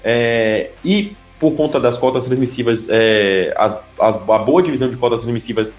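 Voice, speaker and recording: A man's voice: medium pitch, moderate pace, faint music behind. Hesitant man in an echoey room. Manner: hesitant, frequent filler sounds and restarts. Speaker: podcast co-host. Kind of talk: casual chat.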